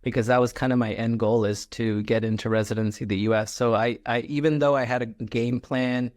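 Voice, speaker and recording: A man from Malaysia, a podcast guest, talks through his answers in a relaxed, halting way, full of ums and uhs. His voice sits at an ordinary male pitch, and the sound is dry and close-miked. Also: no room sound. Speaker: guest, clinical scientist. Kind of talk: interview answers.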